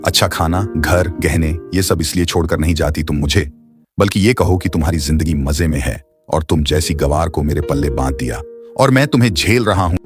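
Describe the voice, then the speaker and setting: A man voicing a harsh, dismissive husband in an animated story. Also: very deep voice, brisk. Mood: scornful. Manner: harsh, scornful. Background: background music.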